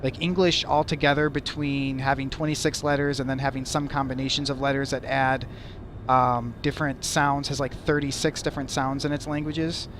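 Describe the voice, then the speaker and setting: A man's voice: mid-range voice, flat delivery, moderate pace, noisy background. A young American man hosting a casual podcast chat, curious and thinking aloud with lots of "like". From United States. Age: young adult. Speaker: host.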